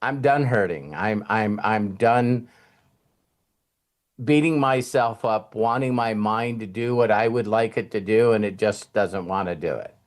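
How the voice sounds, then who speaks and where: A male host talks informally to his online community. His delivery is heartfelt and conversational, and he often stops and repeats a phrase to stress it. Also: lowish voice. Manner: halting, repeats phrases for emphasis. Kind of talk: casual, heartfelt group conversation.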